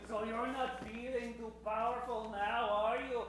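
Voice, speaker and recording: A man's voice: very high voice, little pitch movement, measured pace, very noisy. A man playing a smug, taunting bandit with a drawling, put-on in improvised radio comedy. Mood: smug teasing. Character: Mexican bandit Pedro, smug, taunting, cigar-smoking. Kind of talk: improvised old-time radio comedy acting. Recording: echoey stage hall.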